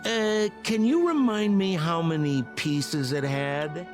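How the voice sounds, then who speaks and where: A man playing a sly, theatrical scam artist in a video game, breezy and smarmy, with a glib, wheedling way of stretching words. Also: on the high side. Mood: suspicious, probing. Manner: glib, wheedling, drawn-out emphasis. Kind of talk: video game character dialogue. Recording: game music behind the voice.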